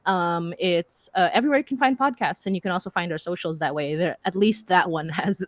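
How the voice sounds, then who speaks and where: A woman's voice: on the low side, brisk, dry recording. A woman talking casually on a panel in an emphatic, giggly, stop-start way. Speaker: panelist, audio drama and comics creator. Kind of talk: casual, animated panel talk.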